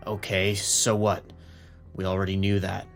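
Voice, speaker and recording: An actor playing a gruff, commanding police captain, curt and sardonic, in a noir audio drama. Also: male voice, sits low, monotone, unhurried, soft background music. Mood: gruff, impatient. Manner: curt, authoritative.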